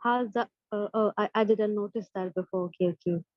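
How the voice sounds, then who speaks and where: A woman's voice: mid-range voice, steady tone, dry recording. Hesitant woman student, dull call audio. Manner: hesitant, self-deprecating, halting. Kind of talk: reading aloud in class. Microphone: video call.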